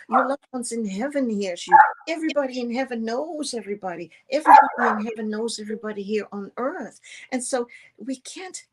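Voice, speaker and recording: A woman from the Netherlands with a Dutch accent, giving earnest, rambling spiritual encouragement and repeating words for emphasis. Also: on the high side. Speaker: show co-host. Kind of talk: conversational spiritual encouragement to viewers.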